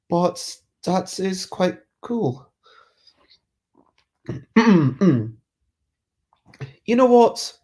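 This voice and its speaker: Stuffed-up streamer with a cold, mellow tone, easygoing rambly chat. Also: mid-range voice. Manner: musing, easygoing, self-interrupting. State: congested with a cold. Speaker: streamer hosting a chat show. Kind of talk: casual rambling chat, reading viewer comments.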